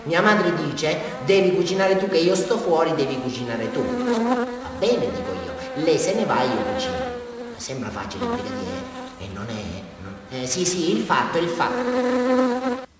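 An actor playing a nervous, childlike murder suspect rambling through a confession in a radio drama, with a southern Italian accent. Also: male voice, high-pitched, very animated, unhurried. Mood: rambling, flustered. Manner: rambling, repetitive, agitated, with sudden swings. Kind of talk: theatrical radio drama monologue. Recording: distant, very echoey hall, faint noise.

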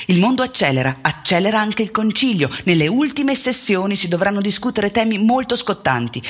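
A woman performing a narrative theatre monologue, telling it in a deliberate, measured way with emphatic phrasing. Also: mid-range voice, breathy edge. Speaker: stage actor and narrator.

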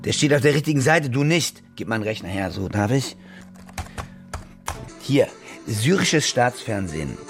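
An actor in a radio drama playing a cynical German war reporter who talks in a sullen, combative, sardonic manner. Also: male voice, mid-range voice, sing-song, moderate pace, pauses often, over music. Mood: scornful. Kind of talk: radio drama acting, naturalistic dialogue.

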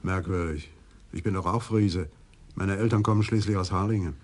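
An actor in his forties playing a dapper bachelor police sergeant in a radio crime play, easygoing and quick-witted. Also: low-pitched. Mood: relaxed. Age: 40s. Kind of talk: 1980s German radio crime play acting.

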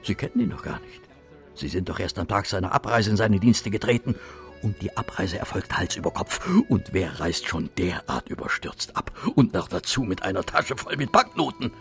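An actor in a German radio play playing a scheming police detective who is insinuating, hesitant and then insistent. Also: male voice, low voice, lively intonation, normal speed, gravelly, fairly bright tone, soft background music, full character voice. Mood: pressing. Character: wily, pushy male police detective, coaxing and scheming. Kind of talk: German radio drama acting.

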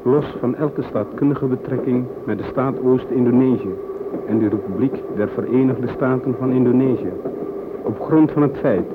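A man's voice: mid-range voice, natural voice. Guy acting as a formal official reading a proclamation, thin tinny noisy radio sound. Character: man formally proclaiming an independence declaration. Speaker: reader of a political declaration. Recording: tinny band-limited radio, clear noise.

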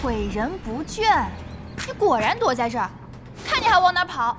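A very high, animated young female guild boss speaks Mainland Mandarin in audio-drama style. She is haughty and vengeful, ordering and threatening as an online game villain, with music clearly behind her. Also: normal speed. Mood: menacing, taunting. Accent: Mainland Chinese Mandarin.

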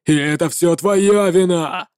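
A woman's voice: on the low side, expressive, slow, darkish tone, no room sound, clean studio sound, pitched below the performer's own voice. Woman voicing a growling enraged male paladin. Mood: desperate rage. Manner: growling, threatening.

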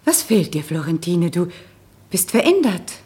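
A woman's voice: fairly low pitch, moderate pace, husky, heavily acted. An actress playing a noblewoman hostess questioning her old friend in a radio drama. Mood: puzzled. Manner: lively, questioning. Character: warm, curious noblewoman hostess.

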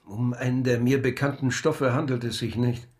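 Radio drama physician, played by a man: medium male voice, measured, formal, matter-of-fact. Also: mid-range voice, fairly even intonation, a little mellow, natural voice. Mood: sober, clinical. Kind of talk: German radio crime drama acting.

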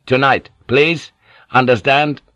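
A man voicing a scheming warlord who speaks halting, clipped English. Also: medium pitch, steady tone, no echo, highs cut off, voice pushed up. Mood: persuasive. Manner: clipped broken English, insistent, softly persuasive.

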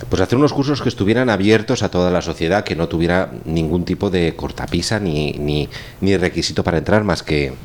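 A man with a Spanish accent from Spain talks casually as a guest instructor, halting and often digressing or correcting himself. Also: sits low, slight background noise. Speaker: guest, course instructor and audio documentary maker. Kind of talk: casual round-table talk.

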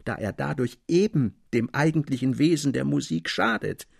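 A male reader plays an earnest Romantic man, passionate and indignant about his art. His speech is rhetorical and exclamatory, in a husky, creaky voice of medium pitch, set in a dry storybook reading. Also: animated, moderate pace, no room sound, full character voice. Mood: indignant, impassioned.

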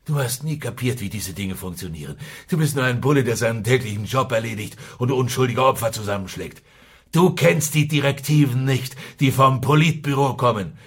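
A desperate Soviet secret police chief in a radio play. His voice is of middling pitch. He speaks with fear and intensity, halting as if cornered. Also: breathy edge. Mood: alarmed, bitter. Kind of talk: radio drama dialogue.

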